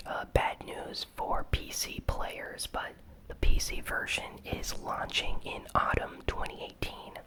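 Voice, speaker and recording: A host whispering an ASMR news recap in a breathy, unvoiced voice, with casual, informal commentary and unhurried, hesitant phrasing. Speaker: host of a gaming news channel.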